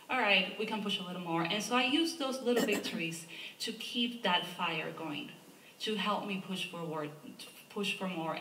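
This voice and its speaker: A woman's voice: fairly low pitch, sharp tone, a little hiss or hum. A woman from Argentina with an Argentine Spanish accent, giving an earnest panel statement about her advocacy work and often tagging "right?" onto her points. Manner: earnest, with rhetorical "right?" asides. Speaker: environmental advocacy director, panelist. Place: museum lecture hall. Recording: echoey room, mic far off.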